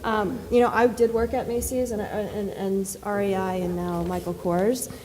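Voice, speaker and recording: A soft-spoken woman on a panel, answering at length with many fillers and restarts. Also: mid-range voice, flat delivery, moderate pace, slight background noise. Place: conference hall. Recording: distant reverberant hall with faint ambience.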